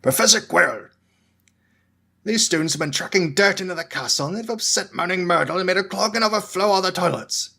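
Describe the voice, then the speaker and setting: A man's voice: high-pitched, creaky, bright, forceful tone, pitched above the performer's own voice, heavily acted. A man voicing a grumpy, wheezy old male caretaker who snarls his complaints. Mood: angry. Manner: snarling, slow and scornful.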